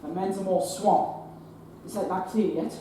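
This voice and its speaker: A young actress playing a stern male hospital chief who lectures in clipped, forceful sentences on a stage. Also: mid-range voice, fairly even intonation, a little slow, close to an everyday voice. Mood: stern, lecturing. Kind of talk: stage play acting. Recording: echoey stage from far off, noisy.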